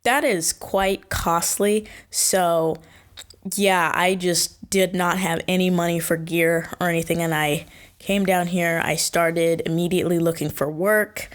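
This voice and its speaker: A woman's voice: fairly low pitch, steady tone, a little slow. A young woman hosting her first solo podcast, chatting casually and rambling in circles with frequent self-corrections. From Washington State, USA. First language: born in Kenya. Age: young adult. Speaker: podcast host.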